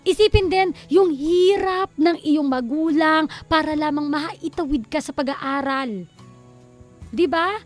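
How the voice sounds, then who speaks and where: A high-voiced Filipino woman co-hosts a radio advice show over soft background music. She talks in a colloquial, conversational style. Her delivery is emphatic and admonishing. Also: takes it slowly. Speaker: radio co-host. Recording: music playing behind the voice.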